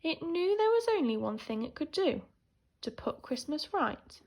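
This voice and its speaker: A woman teacher reading a children's picture book aloud in an animated, theatrical way, swinging between hushed and emphatic delivery. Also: high-pitched, moderate pace. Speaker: primary school teacher reading a story.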